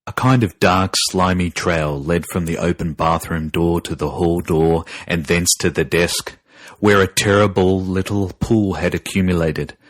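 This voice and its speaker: Deep, grave male horror narrator. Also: steady tone, no echo, low-quality recording. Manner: grave, deliberate, ominous. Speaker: narrator and podcast host. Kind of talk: dramatic scripted horror story narration.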